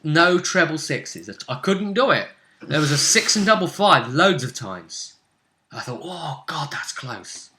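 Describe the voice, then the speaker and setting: A man chatting casually as a game master, recounting a tabletop session like an animated storyteller. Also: a little high, unhurried, a little hiss or hum. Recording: echoey untreated room.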